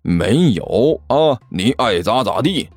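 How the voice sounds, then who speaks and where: A man voicing a sly, shameless monk con artist in an audiobook, slangy and smirking, quick to sputter when cornered. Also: medium pitch, very animated, no room sound, soft background music, voice pushed down, heavily acted. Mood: indignant.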